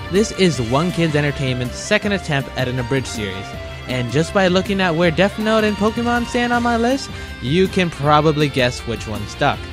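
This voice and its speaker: A man hosting a countdown review video in a casual, scripted narration with a wry, jokey manner. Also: a little high. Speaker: host of a top-list video.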